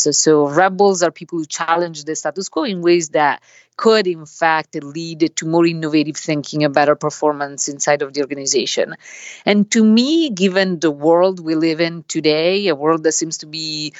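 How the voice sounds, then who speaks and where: Italian-accented woman academic, low-pitched, explains in measured answers, sound a bit dull. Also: no echo. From Italy. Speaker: business school professor, guest. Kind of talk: podcast interview answers.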